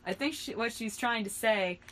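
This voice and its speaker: A woman's voice: a little high, normal speed, fairly bright tone, voice pushed up, close to an everyday voice. A woman voicing a defensive teenage boy, with exaggerated dialogue from a fanfiction story. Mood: caring.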